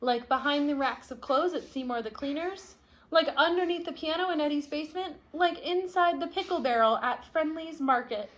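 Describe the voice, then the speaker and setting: A woman's voice: sits high, normal speed, a bit bright, rough recording. A woman voicing a stubborn young storybook boy who refuses to move, speaking emphatically and defiantly. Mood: scheming. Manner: emphatic, defiant first-person storybook delivery.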